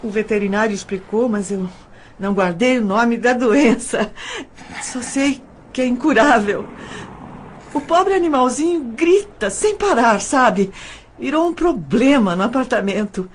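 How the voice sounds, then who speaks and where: An actress playing a troubled young woman in an audio drama, speaking politely and haltingly as she persuades. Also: fairly high pitch, a little hiss or hum. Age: young adult.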